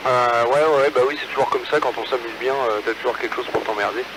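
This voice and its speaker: A man's voice: mid-range voice, fast talker. A man talking casually and colloquially as an amateur radio operator, with hesitations, over a two-way radio from a car. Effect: two-way radio transmission. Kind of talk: casual radio chat between hams. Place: in a car, mobile. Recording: noisy ham radio relay transmission. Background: radio and vehicle noise.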